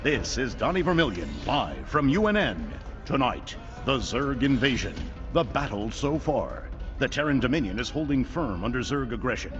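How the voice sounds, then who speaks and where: An actor playing a pompous, slick propaganda news anchor in a video game, speaking in emphatic broadcast style. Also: male voice, mid-range voice, big pitch swings, normal speed, a bit bright. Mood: bombastic. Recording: slightly dull broadcast, faint music.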